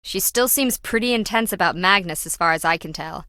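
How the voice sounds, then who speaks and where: A woman's voice: fairly low pitch, a little fast, sharp tone, no room sound, studio quality. An actress playing a brash, slippery woman treasure hunter in a video game, with wry, breezy, slangy teasing. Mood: flippant.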